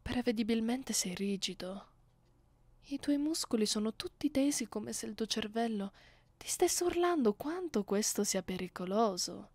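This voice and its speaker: A woman playing a haughty, seductive vampire mistress in an ASMR roleplay, speaking softly and condescendingly, close to the microphone, with an Italian accent. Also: on the high side, lively intonation, no room sound. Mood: haughty, teasing. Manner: slow, condescending, teasing, often dropping into whispers. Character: haughty, seductive female vampire mistress, commanding her captive. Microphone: close studio microphone.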